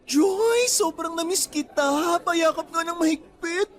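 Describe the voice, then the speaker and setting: A man's voice: very high voice, unhurried, a bit bright, faint music behind. An actor playing an affectionate young male best friend with a Filipino accent in a radio drama, chatty and gushing.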